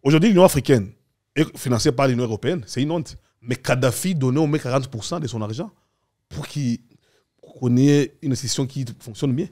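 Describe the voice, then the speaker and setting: A man's voice: mid-range voice, brisk, mellow. A man speaking as a guest in an opinionated political discussion, assertively explaining with examples.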